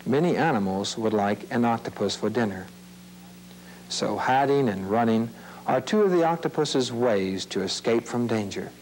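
A man narrates an old-fashioned educational nature film for young viewers. His voice sits at a medium pitch, and he explains things in plain, measured phrases. A light hum and hiss from an old tape soundtrack runs underneath. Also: expressive, darkish tone, poor audio. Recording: hissy, humming old videotape soundtrack.

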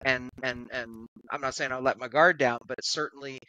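A man co-hosting a podcast on a remote call, talking casually and haltingly as he thinks aloud. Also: mid-range voice, fairly even intonation, pushed and bright, highs cut off. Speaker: podcast co-host. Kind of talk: casual tech podcast discussion.

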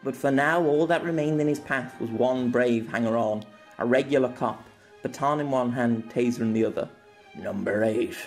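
A man's voice: medium pitch, a little mellow. Man narrating an action story dramatically. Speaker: audiobook narrator and author.

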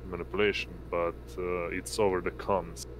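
A man with a deep voice runs a tabletop game over an online call. He narrates slowly and haltingly in a flat tone, with clear noise in the background. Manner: halting, hesitant delivery. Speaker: game master.

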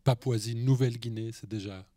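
A man's voice: mid-range voice, fairly even intonation, a little fast, mellow, no echo, clean studio sound. An older man moderating a festival round table with brief, formal introductions. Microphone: handheld mic.

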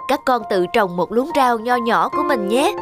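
A woman voicing a patient, firm mother rabbit in a children's cartoon, speaking in a measured, instructive way. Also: fairly high pitch, expressive, natural voice. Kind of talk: animated children's cartoon voice acting. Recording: music behind the voice.

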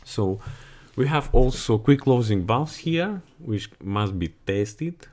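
A man's voice: medium pitch, expressive, slow pace, a little mellow. A man explaining equipment as he walks through a ship's engine room, speaking non-native English in a repetitive way that doubles words for emphasis, recorded on a body-worn camera. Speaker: marine chief engineer giving a ship tour. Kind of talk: explanatory walkthrough video. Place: ship engine room, indoors. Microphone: body-worn action camera.

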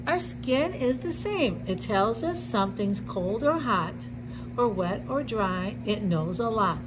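A middle-aged woman reading a picture book aloud to young children, with deliberate simple phrasing and little asides. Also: medium pitch, lively intonation, noisy background. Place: indoor classroom with sink and counter.

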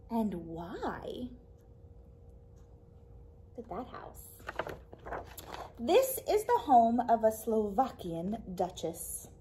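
A young woman reading a picture book aloud to small children, expressively, pausing for questions and little asides to her listeners. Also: on the high side, dark tone, slight background noise. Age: young adult. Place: small indoor library room with a puppet theatre.

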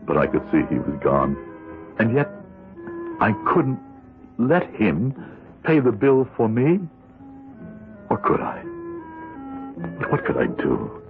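A guilt-torn man confiding in the listener in a seventies radio play. His low voice moves widely, brooding and hesitant, with music behind the muffled recording. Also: exaggerated voice. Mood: anguished, scheming. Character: guilt-torn male juror narrating his secret dilemma. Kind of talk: 1970s radio drama narration.

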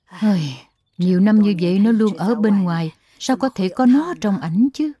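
A woman's voice: mid-range voice, moderate pace, dark tone, natural voice. A woman playing a tender, anxious elderly grandmother in a dubbed children's cartoon. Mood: troubled. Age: older.

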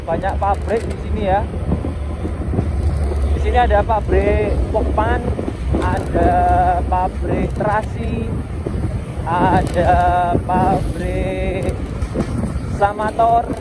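A man, casually narrating while riding a motorbike on a busy road. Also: high-pitched. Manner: relaxed, unhurried, pausing often. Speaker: moto vlogger. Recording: loud wind and traffic noise. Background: road traffic and wind.

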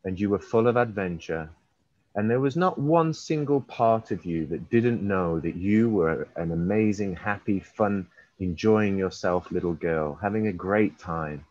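A man leading a guided hypnosis session as a hypnotherapist, using repetitive, drawn-out and emphatic suggestive phrasing. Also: low voice, a little mellow. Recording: roomy, slightly dull recording.

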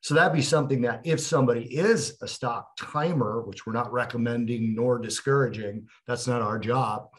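A man's voice: medium pitch, normal speed, few pauses. A man co-hosting a podcast over a video call, asking long, rambling, explanatory questions with fillers. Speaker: podcast co-host. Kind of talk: casual interview questions.

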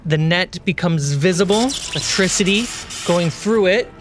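A man running a tabletop game as game master, narrating dramatically with deliberate pauses. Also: high-pitched. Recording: background music under the voice.

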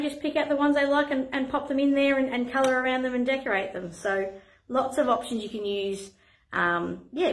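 A woman, a playgroup facilitator chatting to camera in an encouraging way with frequent ums. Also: on the high side. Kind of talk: online playgroup lesson, talking to camera. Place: indoor play room. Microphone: camera at a few metres. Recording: echoey room.

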